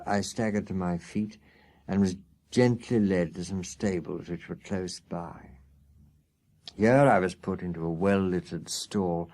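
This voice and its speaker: A man's voice: low voice, dark tone. A man reading a children's story aloud as a dramatic first-person narrator. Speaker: audiobook storyteller.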